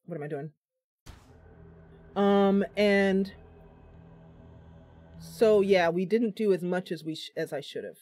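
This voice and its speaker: A woman's voice: mid-range voice, takes it slowly, pauses often, darkish tone, soft background music. A woman streaming a game, casually commentating live and thinking aloud as she chats with her viewers.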